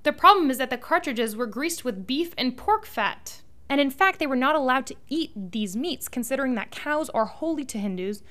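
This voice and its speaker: A woman co-hosting a scripted history podcast, explaining facts in a brisk way. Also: high-pitched, expressive, fairly bright tone. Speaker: podcast co-host.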